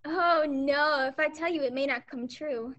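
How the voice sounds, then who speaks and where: High-voiced, sweet teen playing a small-town girl. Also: moderate pace, fairly bright tone, muffled recording. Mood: sweet, playful. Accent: American. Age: teens. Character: sweet, teasing eighteen-year-old small-town girl. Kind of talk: stage radio play acting.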